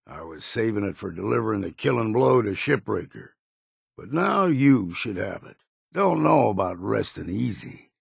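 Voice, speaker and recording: An actor playing a gruff, stubborn old hunter from a harbor town in a video game, with a rasping voice and a folksy, blunt way of talking. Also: male voice, medium pitch, a bit bright, no room sound, clean studio sound, exaggerated voice. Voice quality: gravelly, rasping. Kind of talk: video game character acting.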